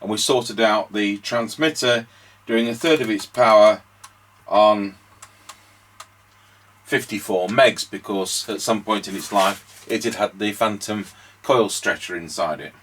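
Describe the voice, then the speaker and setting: A man's voice: lowish voice, unhurried, fairly bright tone, a little hiss or hum. A man giving casual demonstration commentary as a radio repair host and operator.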